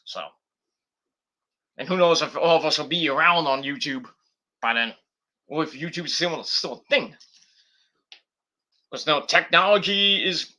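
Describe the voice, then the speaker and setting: Fortysomething guy rambling at home, very bright voice, bit higher pitch, lots of ums and take-backs. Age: 40s. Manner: rambling, self-correcting, frequent fillers. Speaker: YouTuber. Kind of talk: rambling casual vlog chat. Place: home, at a desktop computer.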